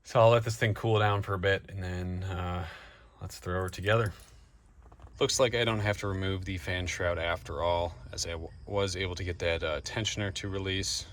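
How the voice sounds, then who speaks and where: A man's voice: low-pitched, steady tone, normal speed, a bit bright. A man talking casually and informally to camera as he hosts a hands-on car repair vlog, with a laid-back way of speaking. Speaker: vlog host doing DIY car repair. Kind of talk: casual narration to camera while working. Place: in and around a vehicle. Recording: noisy car and engine ambience. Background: car and ambient noise.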